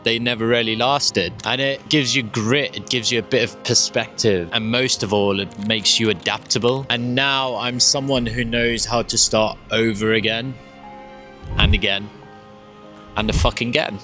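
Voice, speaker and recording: A man's voice: mid-range voice, steady tone, moderate pace, sharp tone, faint music behind. A young man from South Africa with a South African accent, reflecting candidly on his life in a casual personal vlog, with a slight stutter and the odd swear word. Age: young adult. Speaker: vlogger.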